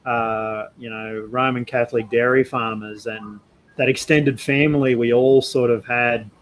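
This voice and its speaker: A man with an Australian accent and a mid-pitched voice hosts a relaxed interview. He feels his way through questions in a halting, discursive manner, turning reflective as he goes. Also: slight background noise. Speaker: podcast host. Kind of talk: casual conversational interviewing.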